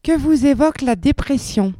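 A woman's voice: high voice, expressive, moderate pace, warm tone, no echo, clean studio sound. A woman working as an interviewer, putting short prepared questions to people.

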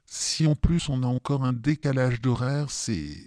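A man's voice: mid-range voice, a little slow, a little mellow, no room sound, studio quality. A man in his fifties giving a personal testimony in spontaneous, hesitant speech, often repeating words as he goes. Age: 50s. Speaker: testimony guest with lived experience of depression.

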